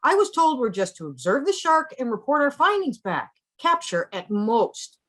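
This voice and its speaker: A woman's voice: high-pitched, big pitch swings, moderate pace, fairly bright tone, heavily acted. A woman at a script table read playing a cautious, fussy marine scientist, precise and protesting. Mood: alarmed protest.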